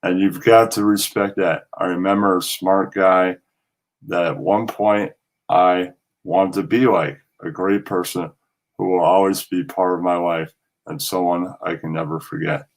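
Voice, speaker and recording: A man's voice: low-pitched, steady tone, measured pace. A man speaking as a show guest in a plain, unpolished manner. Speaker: show guest reading his own poem. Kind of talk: plain poem recital and casual remarks.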